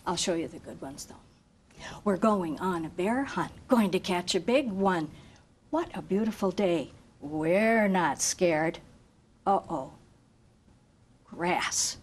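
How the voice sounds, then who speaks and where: A woman reading a picture book aloud to children in an expressive, chanting, sing-song way, engaging them with questions like a teacher. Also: on the low side, takes it slowly, long pauses, a little hiss or hum. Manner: asks listeners questions like a teacher. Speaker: volunteer story reader for children.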